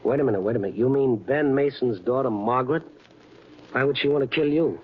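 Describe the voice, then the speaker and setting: A man's voice: medium pitch, fast, husky, highs cut off, close to an everyday voice. Actor as wisecracking American newspaperman, old radio sound. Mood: questioning, concerned. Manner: wisecracking, hard-boiled. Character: wry, flirtatious male Chicago newspaperman narrating his case. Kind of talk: 1950s radio drama acting, first-person narration. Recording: band-limited old radio recording, prominent music.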